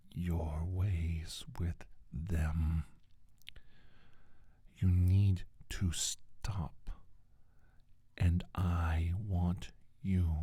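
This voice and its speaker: A man voicing a menacing alien scientist in a soft-spoken ASMR roleplay, breathy and half-whispered, with a pompous, formal, deliberate delivery. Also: deep voice, dry recording, heavily acted. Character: menacing male alien scientist bent on world domination.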